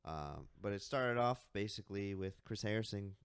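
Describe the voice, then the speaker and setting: A young man in his twenties with a fairly low voice trades teasing, deadpan quips in casual podcast banter. The recording is dry and close to the mic. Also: normal speed, smooth, no echo. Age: 20s. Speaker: podcast co-host.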